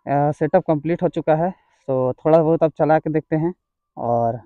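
Higher-pitched man, casual vlogger. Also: normal speed, dry recording, narrow, tinny audio. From India. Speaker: motovlogger. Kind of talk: casual vlog demonstration.

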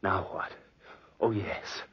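An actor playing a guilt-crazed, haunted nephew turned murderer in melodramatic old-time radio horror, muttering and crying out. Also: male voice, takes it slowly, in a whisper, natural voice. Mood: haunted, furtive. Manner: melodramatic, muttering and breathy to anguished cries. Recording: muffled old radio drama recording.

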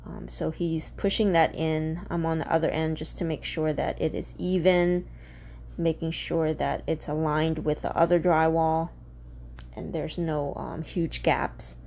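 A woman's voice: sits low, flat delivery, unhurried, a little mellow, slight background noise. A woman casually narrating a home renovation video, chatty and full of ums while giving practical tips.

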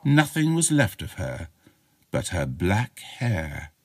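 A man's voice: low voice, huge pitch swings, slow pace, dry recording. A man narrating a short chiller as an audiobook reader, in a dramatic storytelling style.

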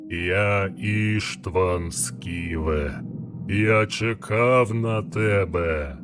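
Give this one to a menacing nightmare sorcerer. The voice is dry and hollow, fairly low, and drags each word out extremely slowly as it taunts, in a fantasy audiobook drama with music behind it. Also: male voice. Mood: menacing, gloating. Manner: very slow, drawn-out taunting delivery. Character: menacing all-knowing dark sorcerer, dream apparition.

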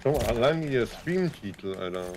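A man's voice: mid-range voice, very animated, normal speed, a little mellow, slight background noise. A man chatting casually with friends while playing a video game. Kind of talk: casual chat while gaming. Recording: faint gunfire from game behind. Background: video game gunfire and ambience.

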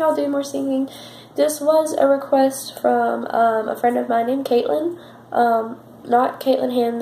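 A woman's voice: fairly high pitch, gravelly, dark tone, echoey room. A girl hosting a toy video channel, with a stuffy nose and a scratchy voice, chatting casually with lots of fillers and singing without backing. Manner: rambling, filler-heavy chatter. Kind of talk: casual vlog chat and a cappella singing.

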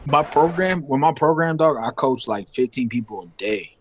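A man's voice: medium pitch, animated, normal speed, slight background noise. A man coaching a gamer over a voice call in a blunt, needling, slangy way. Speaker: paid game coach. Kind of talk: casual game coaching.

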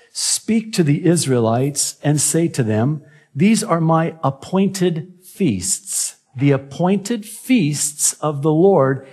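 A man in his forties, a native Arabic speaker, preaching a sermon from a church pulpit into a gooseneck mic with measured, emphatic phrasing. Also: medium pitch, a little mellow, slightly rough. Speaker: pastor.

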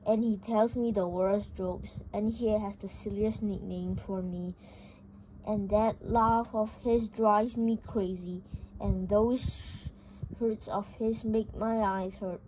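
A high-voiced reader reading a comic story aloud haltingly, stumbling over words, in an amateur home recording. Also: steady tone, a little mellow, slight background noise, low-quality recording. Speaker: video host reading a book aloud.

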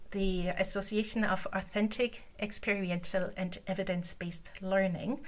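A woman with a German accent, German being her first language, giving an online conference talk. She speaks in a mid-range voice, conversational and unhurried, with frequent ums between phrases. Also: mid-range voice, a bit bright. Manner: conversational, many filler ums. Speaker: conference presenter. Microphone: video call.

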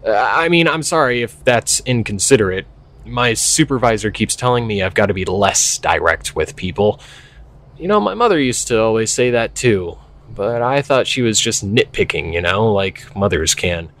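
An actor playing a young park ranger in an audio drama, earnest, self-doubting and blunt, speaking in a hesitant, candid way. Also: male voice, lowish voice, lively intonation, normal speed, fairly bright tone. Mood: candid, awkward. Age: young adult. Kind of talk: naturalistic audio drama dialogue.

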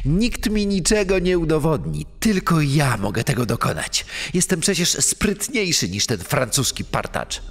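A man performs a conceited criminal mastermind in a podcast drama. His slightly high voice swings widely as he schemes and boasts, stretching out his words. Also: sing-song, measured pace, gravelly, slightly rough, faint music behind, exaggerated voice. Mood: smug, scheming. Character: arrogant male criminal plotting perfect murder. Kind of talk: true-crime podcast dramatization.

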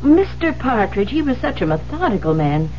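An eager, deferential woman secretary in a seventies radio drama. She is earnest and hesitant, with lively intonation. The recording is slightly muffled with a faint hum. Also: on the high side, normal speed. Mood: earnest, puzzled. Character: dutiful, fussy, eager-to-please female secretary. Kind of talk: 1970s radio drama acting. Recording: muffled, humming 1970s radio broadcast.

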